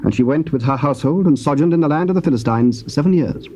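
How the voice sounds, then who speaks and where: A man narrating scripture in a measured, solemn reading. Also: a little high, mellow, a little hiss or hum.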